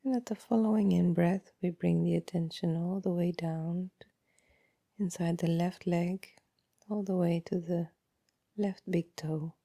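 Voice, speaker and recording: Dark, husky woman guiding meditation softly. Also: on the low side, dry recording, studio quality. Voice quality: soft, breathy, often trailing into near-whisper. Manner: calm, unhurried, gentle instructing with long pauses. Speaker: meditation guide. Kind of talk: guided body scan meditation, slow and soothing.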